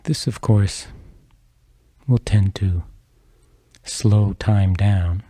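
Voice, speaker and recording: A man leads a guided meditation in a deeply mellow, relaxed voice. He speaks softly in measured phrases, leaving long pauses between them. The tone is husky and the sound is close and dry. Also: dry recording. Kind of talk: slow guided meditation narration.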